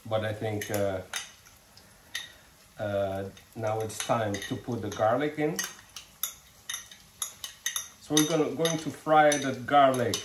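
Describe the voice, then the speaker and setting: A man's voice: medium pitch, steady tone, slow pace, pauses often, roomy sound, distant mic, background noise. A man with a Dutch accent, Dutch his first language, hosting a home cooking demonstration in a kitchen, friendly and hesitant with many fillers and restarts. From Suriname. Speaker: cooking channel host.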